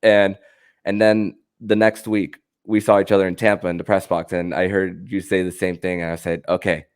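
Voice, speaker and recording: A man with a low, smooth, resonant voice hosts a sports podcast. He talks haltingly, often repeating and restarting his phrases as he addresses listeners and guest. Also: flat delivery. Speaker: podcast host.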